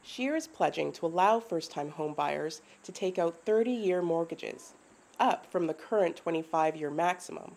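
Woman reporting the news in a low voice. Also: darkish tone. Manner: measured, clear reporting delivery. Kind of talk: scripted news narration.

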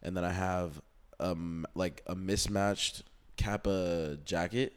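A young man with a very low voice co-hosts a loose, casual chat. He rambles, swears freely and stalls on fillers while thinking. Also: steady tone, slow pace, clear, resonant tone. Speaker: podcast co-host.

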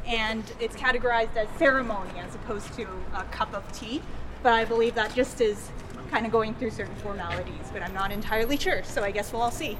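A chatty woman with a high, light voice leads a walking food tour outdoors. She explains things casually as she goes. Loud street noise sits right behind her. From Canada. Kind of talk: casual guided tour talk.

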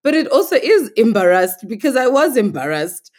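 A woman's voice: on the high side, sing-song, moderate pace, a little mellow. A woman from Nigeria, a podcast guest, talking in a thoughtful, articulate and earnest way. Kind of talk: reflective conversational interview.

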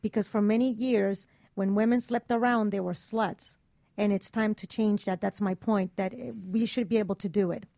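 A woman's voice: mid-range voice, fairly even intonation, normal speed, vocal fry, dark tone. A woman on a radio panel arguing her opinion in casual, insistent, emphatic conversation.

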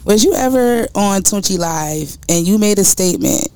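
A woman's voice: medium pitch, lively intonation, normal speed, dark tone, no echo. A woman hosting a casual live interview, chatty and teasing, often repeating herself. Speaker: host.

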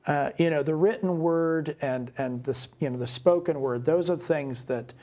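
A man hosting a conversational podcast, reasoning aloud in halting, restarted phrases. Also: a little high, dark tone. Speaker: podcast host. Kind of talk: conversational discussion.

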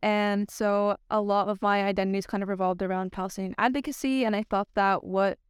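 A woman's voice: medium pitch, fairly even intonation, a little fast, clean tone, dry recording, clean studio sound. A young American woman who is a student guest on a podcast, talking in an articulate and earnest way. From United States. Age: young adult. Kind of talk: podcast guest chat and poetry reading.

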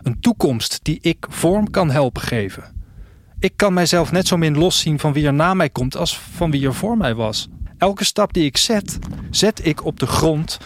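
A man's voice: on the high side, expressive, measured pace, slight background noise. An actor playing a reflective man of a future world, narrating a radio drama intimately in the first person. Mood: fervent, poetic. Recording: music under the voice. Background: music.

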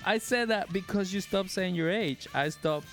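A man's voice: high voice, moderate pace, music in the background. A man from Chile co-hosting a live show with casual, playful, teasing banter. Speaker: co-host of a live-streamed show.

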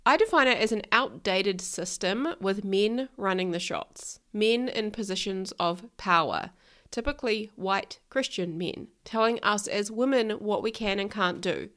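A woman's voice: medium pitch, measured pace, fairly bright tone. Nasal-sounding New Zealand woman hosting. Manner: frank, outspoken, swears. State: nasal from illness. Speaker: podcast host, life coach. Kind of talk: casual solo podcast monologue.

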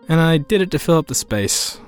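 A man's voice: fairly high pitch, animated, brisk, lightly acted. A man acting as a lonely, heartbroken guy telling his story in an audio play. He is wry and confiding, with a conversational delivery. Music plays underneath his voice. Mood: fond. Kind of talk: first-person narration in an audio drama.

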